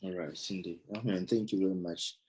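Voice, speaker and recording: A man's voice: sits low, fairly even intonation, a little fast, darkish tone, slight background noise. A male interviewer who thanks his guest and asks loose, friendly follow-up questions. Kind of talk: casual interview chat.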